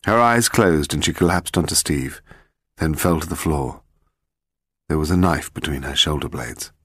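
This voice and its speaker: A man with a British accent reading a detective story as scripted narration, in a measured, dramatic storytelling manner. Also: extremely low pitch, a bit bright, husky, no echo, studio quality. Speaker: audiobook narrator.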